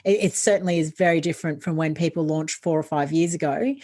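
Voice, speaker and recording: Woman with a lowish voice walking through marketing tips, steady explainer, casual chat. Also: quick pace. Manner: steady and explanatory, listing options. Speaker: podcast guest, podcasting expert.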